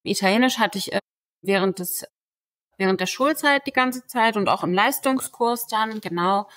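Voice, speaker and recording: A young woman whose first language is Turkish, a lawyer answering interview questions in a relaxed, chatty way. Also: mid-range voice, normal speed. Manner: relaxed, chatty, full of fillers like "genau". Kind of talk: casual conversation.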